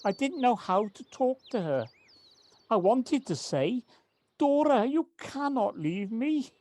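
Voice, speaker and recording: Slow-speaking, remorseful older woman, played by an actress. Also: on the high side, expressive, darkish tone, no room sound. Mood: pleading. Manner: halting, confessional, anguished. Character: older, remorseful partner of forty years, full of self-pity. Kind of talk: amateur audio drama acting. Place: at home, recorded remotely. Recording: faint noise, home personal-device recording.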